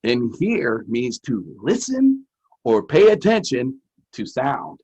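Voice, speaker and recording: A man's voice: mid-range voice, wildly swooping pitch, slow pace, darkish tone, muffled recording, a little hiss or hum. A man presenting a lesson as a teacher-host, chatty, folksy and playful, often repeating himself. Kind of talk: casual, chatty educational presenting.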